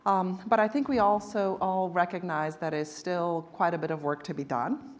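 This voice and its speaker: A woman's voice: lowish voice, steady tone, normal speed. A woman moderating a conference panel, introducing the speakers and asking questions with frequent hesitations. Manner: hesitant, many ums. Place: conference stage.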